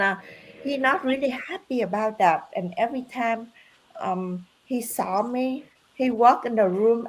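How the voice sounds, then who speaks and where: Slow, halting woman, native Vietnamese speaker. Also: on the high side, rough recording. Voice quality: halting simple English with dropped words. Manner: slow and earnest, pausing to find words. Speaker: business coach. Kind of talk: live online testimony.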